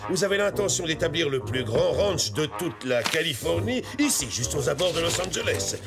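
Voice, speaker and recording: Casting: irascible, scheming cartoon commandant, pompous and sarcastic, extremely animated delivery. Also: male voice, fairly high pitch. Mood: haughty. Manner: theatrical, drawn-out sarcasm. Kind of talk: French cartoon dub acting. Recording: loud music behind the voice.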